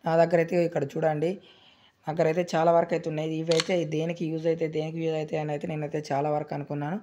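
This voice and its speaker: A man hosting a gaming channel, explaining a game tutorial in a rapid, repetitive, filler-heavy way. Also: on the high side, monotone, muffled recording, background noise. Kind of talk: screen-recorded game tutorial.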